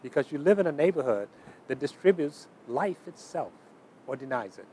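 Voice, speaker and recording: A man's voice: on the high side, big pitch swings, moderate pace, dark tone, a little hiss or hum. A man giving an explanatory documentary interview, speaking emphatically and building points through repetition. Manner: emphatic, uses repetition in lists.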